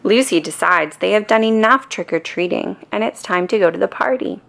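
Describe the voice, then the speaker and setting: A woman narrating a children's bedtime story in a calm, expressive storytelling manner. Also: mid-range voice, a little fast, gravelly. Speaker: storybook reader.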